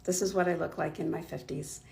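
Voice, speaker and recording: A woman's voice: low-pitched, steady tone, fairly quick, gravelly, darkish tone. A woman in her fifties recording a casual selfie video, offering upbeat, encouraging advice. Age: 50s.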